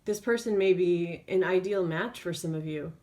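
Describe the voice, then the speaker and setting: Woman giving an unscripted tarot reading, lowish voice. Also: moderate pace, darkish tone. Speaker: tarot reader. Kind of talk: intuitive tarot reading, spoken off the cuff.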